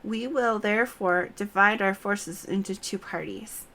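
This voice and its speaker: A woman voicing a resolute female knight commander in a fantasy game, formal and measured as she briefs her troops. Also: medium pitch, pushed and bright. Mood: resolute, commanding. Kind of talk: read-aloud fantasy game dialogue.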